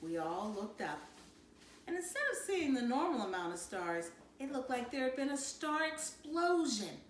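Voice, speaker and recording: A woman reading a children's novel aloud in an animated, dramatic storytelling manner. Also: high voice, moderate pace. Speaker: read-aloud narrator. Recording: roomy, distant mic, faint noise.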